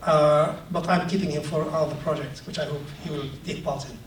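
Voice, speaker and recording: A man from Palestine giving a talk about his book, reflective and hesitant with many ums, growing animated as he tells anecdotes. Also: fairly high pitch, a little fast. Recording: echoey room, distant mic, faint noise.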